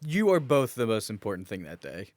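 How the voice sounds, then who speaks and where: Male co-host, expressive intonation, dry close sound, casual candid chat with some teasing. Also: on the low side, a little fast, no room sound. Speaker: podcast co-host. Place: small office.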